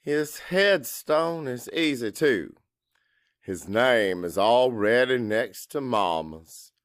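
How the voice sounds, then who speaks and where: A man narrating a dramatic first-person audiobook in an emotive, deliberate way with pauses for effect. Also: mid-range voice, animated, fairly bright tone, no echo, studio quality.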